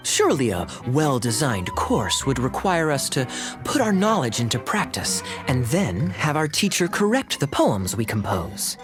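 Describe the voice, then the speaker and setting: A man voicing a sly young knight in a video game, smooth-talking, wry and mock-polite. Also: mid-range voice, big pitch swings, a little slow, music in the background. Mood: sly, amused. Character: suave, sly, teasing young male knight. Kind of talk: animated video game voice acting.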